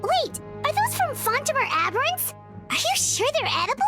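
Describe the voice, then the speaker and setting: A woman's voice: extremely high pitch, big pitch swings, normal speed. A woman voicing a tiny, chirpy, curious floating companion in a video game, blunt in her remarks. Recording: game dialogue with background music.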